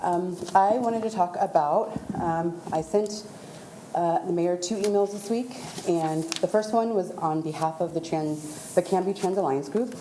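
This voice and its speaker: A woman with a loud voice giving public comment to a city council, with some hesitant fillers. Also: low voice, echoey room, slight background noise, rough recording.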